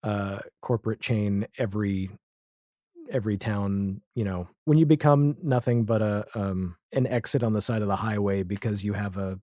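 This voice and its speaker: A man's voice: on the low side, normal speed, dark tone, no echo, studio quality. An American man chatting casually as an interview guest, wry and opinionated, wandering off on tangents. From Nashville area, US. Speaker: podcast guest, audio drama creator.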